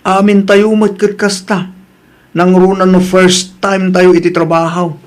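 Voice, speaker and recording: Man acting higher-voiced village man giving advice. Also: flat delivery, a little slow. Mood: chiding, advising. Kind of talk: Ilocano radio-style comedy drama acting.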